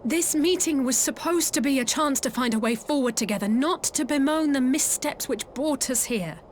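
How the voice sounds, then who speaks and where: A woman's voice: sits high, moderate pace, a little hiss or hum, natural voice. An actress playing an earnest, idealistic young woman in a video game, imploring others toward peace. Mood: pleading.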